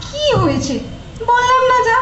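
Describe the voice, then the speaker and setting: Woman acting a high-voiced sulky college girl, echoey. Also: very animated, normal speed, fairly bright tone, slight background noise, full character voice. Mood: tearful, sulky. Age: young adult. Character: sulky, sharp-tongued young female college student, vulnerable. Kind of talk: audio drama acting. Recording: dull echoey recording under music.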